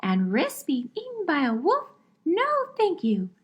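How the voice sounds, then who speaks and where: A woman's voice: high voice, huge pitch swings, a little slow, lo-fi sound, heavily acted. A woman voicing a bossy, exasperated mermaid mother with little sympathy, in a puppet show.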